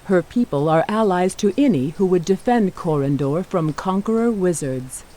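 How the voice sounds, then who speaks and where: Lady voicing solemn fantasy game narrator, grand measured telling, lots of hiss and noise behind. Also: on the low side, expressive, mellow, lightly acted. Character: solemn female storyteller of a fantasy realm. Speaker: campaign narrator. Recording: noisy game audio with hiss.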